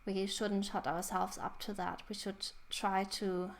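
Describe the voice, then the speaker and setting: A woman's voice: mid-range voice, little pitch movement, unhurried, vocal fry. A young woman, thoughtfully hosting a casual book review. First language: German. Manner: thoughtful, reflective. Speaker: book channel host.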